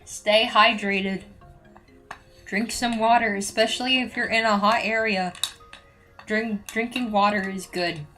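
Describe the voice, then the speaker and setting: A young woman with a slightly hoarse voice talks through her animation work on a home live stream. She rambles in a self-deprecating way, restarts her sentences often, and laughs easily. Faint music plays behind her. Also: mid-range voice, fairly even intonation, measured pace, bright, forceful tone. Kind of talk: casual live-stream chat. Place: home room.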